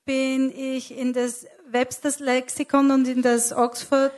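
A woman's voice: high-pitched, level tone, takes it slowly, a little mellow. A woman interpreting a sermon live, delivering it phrase by phrase and sometimes correcting herself.

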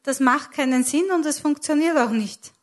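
A woman's voice: sits high, normal speed. A woman interpreting a sermon live, delivering it phrase by phrase and sometimes correcting herself.

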